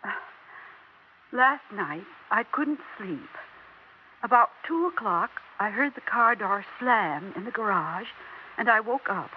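A woman's voice: medium pitch, expressive, slow, a little hiss or hum. An actress playing an older widowed mother who insists her dead husband came home, anxious and pleading, in a 1960s radio drama. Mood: insistent. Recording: dull lo-fi old radio recording.

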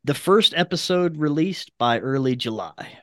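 A man's voice: a little high, lively intonation, normal speed, bright, forceful tone, dry recording, clean studio sound. An American man from Louisiana talking casually as a podcast guest about his work, with enthusiasm and laid-back, slangy phrasing. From Louisiana, United States. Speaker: podcast guest, documentary maker.

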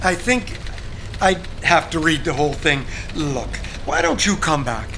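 A man's voice: on the high side, expressive, unhurried, noisy background. A man playing a prissy, evasive, exasperated publishing agent in an audio drama, speaking in a fussy, formal, condescending way. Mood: evasive, polite.

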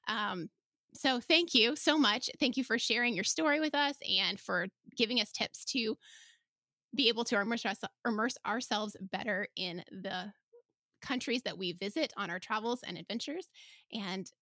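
Bright, chatty woman podcast host. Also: on the high side, normal speed, no echo, studio quality. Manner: chatty, enthusiastic, laughs often. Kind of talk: casual conversational interview.

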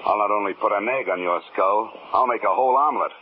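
A man's voice: lowish voice, quick pace, lightly acted. An American man playing a tough, sardonic, wisecracking insurance investigator in a 1950s radio drama. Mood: angry, threatening. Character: hard-boiled, wisecracking male insurance investigator. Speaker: lead actor and narrator in a radio detective drama. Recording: muffled, noisy 1950s radio recording.

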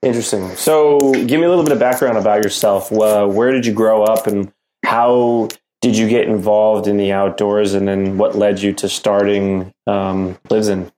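A man's voice: fairly low pitch, fairly even intonation, moderate pace, a little mellow, a little hiss or hum. A man hosting a podcast, speaking in a casual, chatty way to listeners and his guest. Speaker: podcast host.

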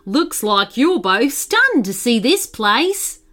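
Friendly talking kangaroo, female played by a woman storyteller, proud and cheerful, lively high pitch, for a children's storybook. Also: slow pace, soft background music, exaggerated voice.

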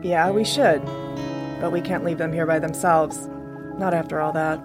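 A tough middle-aged mother played by a woman surviving in an audio drama. Her voice sits a bit low, and she is blunt, wary and slow to trust. Music runs underneath. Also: steady tone, natural voice. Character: guarded, tough, distrustful mother surviving the apocalypse.

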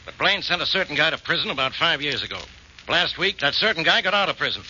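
A gruff radio police inspector, impatient and blustering. The voice is sharp and high and swings around a lot in pitch. It comes through an old, muffled 1940s crime-show recording. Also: male voice, lively intonation, quick pace. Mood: gruff, dismissive. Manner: sarcastic, blustering. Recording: old radio recording, dull, faintly noisy.

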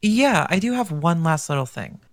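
A man's voice: fairly high pitch, very animated, moderate pace, no room sound, clean studio sound. A man co-hosting a podcast, giving his take on a dating show in a casual, thoughtful way with rhetorical questions. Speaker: podcast co-host.

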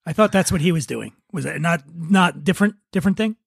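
A man's voice: on the high side, lively intonation, quick pace, creaky, slightly rough, no room sound, studio quality. A man hosting a casual comedy podcast, chatting with a joking, teasing manner. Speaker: podcast host.

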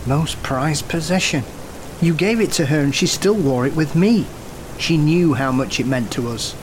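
A man's voice: a little high, normal speed, background noise, close to an everyday voice. An actor playing a weary, cautious, grieving man who reasons and pleads in an audio drama. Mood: earnest grief.

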